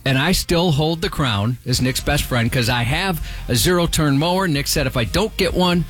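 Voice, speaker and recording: A man's voice: a little high, normal speed, fairly bright tone, soft background music. A man co-hosting a morning radio show, joking and boasting in a playful way. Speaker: radio co-host. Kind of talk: casual morning radio banter.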